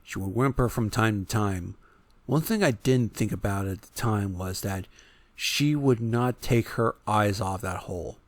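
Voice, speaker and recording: A man narrating a first-person horror story in a theatrical way, swelling for emphasis and dropping to a hush. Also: on the low side, unhurried, no room sound.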